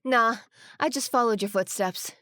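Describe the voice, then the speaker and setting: A woman's voice: fairly high pitch, moderate pace, fairly bright tone, no echo, studio quality, natural voice. A woman playing a plain-spoken, dryly sarcastic investigator in an audio drama.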